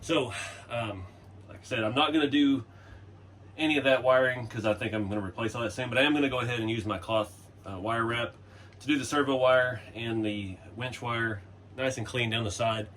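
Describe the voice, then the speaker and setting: A man's voice: mid-range voice, a little fast, fairly bright tone, slight background noise. Laid-back man in a garage workshop. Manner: laid-back, chatty, frequent "uh" and chuckles. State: hoarse, losing his voice. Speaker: RC hobby channel host. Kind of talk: casual workshop tutorial.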